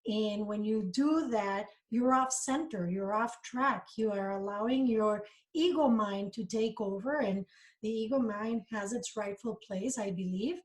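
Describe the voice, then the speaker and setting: A woman's voice: on the high side, a little mellow, low-quality recording. Upbeat middle-aged woman from Costa Rica. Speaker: guest. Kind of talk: casual interview talk.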